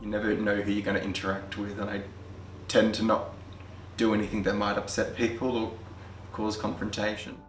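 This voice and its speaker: A man's voice: on the low side, monotone, a little fast. A man with an Australian accent speaking candidly in a documentary interview. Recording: distant, echoey, with music behind.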